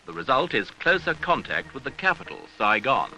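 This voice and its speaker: A man reading a scripted documentary newsreel narration in a measured, grave, rhetorical manner, on an archival seventies soundtrack. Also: mid-range voice, sing-song, low-quality recording.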